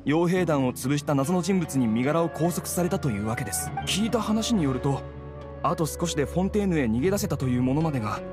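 A man's voice: a little high, lively intonation, brisk, over music, close to an everyday voice. A man voicing a polite, earnest young officer in an anime game, reporting in a formal, orderly way. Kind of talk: Japanese anime game voice acting.

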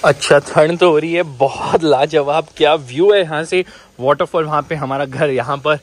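A chatty man in his thirties vlogging from a handheld camera, joking as he walks through the cold and around a small shop. His voice sits a little high for a man, with faint background hiss. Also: moderate pace. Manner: chatty, joking, lively narration while walking and shopping. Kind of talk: casual travel vlog. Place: outdoors in cold weather, a supermarket, a motorhome. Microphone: handheld action camera.

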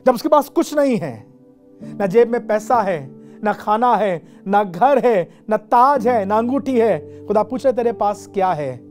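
A man in his forties, a bishop with an Indian accent, preaching a sermon to camera and slowing down to stress English phrases. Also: high voice, animated, soft background music. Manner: dramatic, slows for emphasis, switches into English phrases. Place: dark studio set with a lamp.

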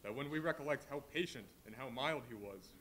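A man playing a gentle grieving father, quick and bright. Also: medium pitch, close to an everyday voice. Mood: tender. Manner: tender, mournful. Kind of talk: live stage play reading.

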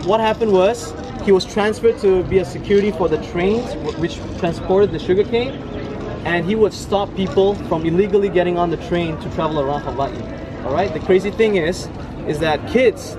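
Young guy from malaysia doing a jokey outdoor tour, voice on the high side, filmed from a few steps away. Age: 20s. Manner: upbeat, playful, jokey, keeps calling the group "family". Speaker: tour guide. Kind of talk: guided tour talk. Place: outdoor cultural park with food trucks. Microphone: camera a few steps away.

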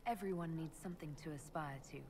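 A woman voicing a calm, probing female game hero who questions a companion. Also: sits low, quick pace, noisy background, natural voice.

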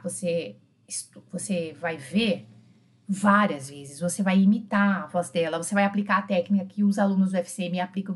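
A middle-aged woman with a Brazilian Portuguese accent teaching an informal online French lesson in a chatty, emphatic way, repeating key phrases. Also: medium pitch. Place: small indoor room with bookshelves.

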